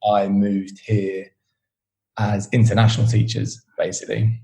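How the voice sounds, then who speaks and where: Relaxed low-voiced British man. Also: unhurried, poor audio. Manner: relaxed, rambling, many fillers. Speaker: podcast host, interview guest. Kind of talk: casual chat.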